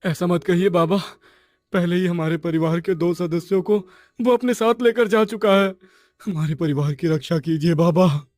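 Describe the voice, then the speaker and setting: A man voicing an anxious young boyfriend in an animated horror story, with an urgent, flustered delivery. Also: high voice, moderate pace, no echo, pitched above the performer's own voice, lightly acted. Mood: pleading.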